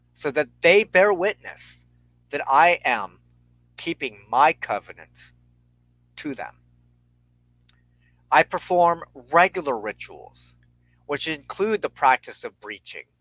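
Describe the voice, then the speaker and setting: A man delivers spiritual teaching slowly and ceremoniously, like a formal sermon. His voice is bright and firm, recorded dry and close, and his phrasing is measured and solemn. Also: on the high side, no echo, poor audio. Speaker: host of a weekly spiritual talk show.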